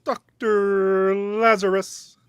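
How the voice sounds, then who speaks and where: A woman's voice: mid-range voice, little pitch movement, very slow, smooth, dry recording. A woman playing an earnest, courteous male alien leader in a radio theatre read, speaking formally and ceremoniously. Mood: reverent welcome.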